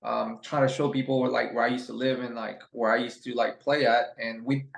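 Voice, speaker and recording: A young man from Ecuador talks at an ordinary pitch in a relaxed, rambling way, circling back on his words often. His voice has a gravelly edge. The room around him is echoey. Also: fairly quick. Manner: relaxed, rambling, many fillers and restarts. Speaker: guest. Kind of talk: casual interview chat.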